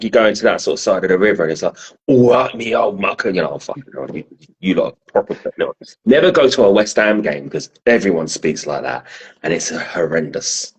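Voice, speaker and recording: A man hosts a casual podcast chat. He tells animated stories and swears freely as he goes. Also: on the low side, brisk, poor audio. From South London. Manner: lively storyteller, swears freely. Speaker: podcast host.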